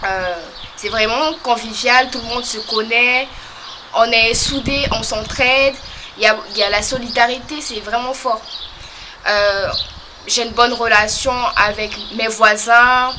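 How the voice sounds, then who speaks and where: A young woman from French Guiana, talking casually to camera as a vlogger, hesitant and full of pauses. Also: fairly high pitch, fairly even intonation, noisy background. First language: a local creole or regional language. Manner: hesitant, many pauses and fillers.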